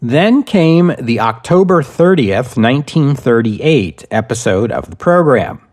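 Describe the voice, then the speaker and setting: A man reading scripted history narration as a podcast host, with measured, deliberate phrasing. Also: mid-range voice, lively intonation, a little mellow.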